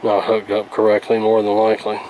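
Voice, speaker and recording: A man's voice: on the low side, monotone, brisk, a little mellow, a little hiss or hum, poor audio. A man giving casual hands-on radio repair commentary, hesitant and thinking aloud as he works. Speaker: radio repair hobbyist, host.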